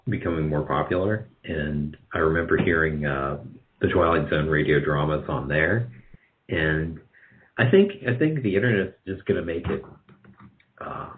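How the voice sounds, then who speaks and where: A man speaking casually as an interview guest, halting and rambling as he corrects himself. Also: very deep voice. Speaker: guest, radio drama producer. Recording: dull lo-fi call audio.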